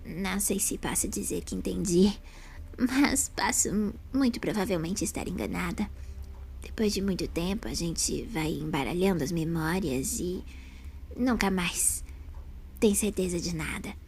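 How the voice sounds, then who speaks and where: Audio drama elderly lady, woman actor: soft, creaky, lowish voice, slow and hesitant, gentle temper. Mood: wistful, unsure. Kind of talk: audio drama fan story.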